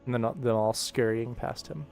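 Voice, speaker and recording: A man's voice: medium pitch, normal speed, gravelly, a little mellow, soft background music. A man hosting a casual work livestream as an animation director, explaining informally and thinking aloud. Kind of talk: casual livestream commentary while working.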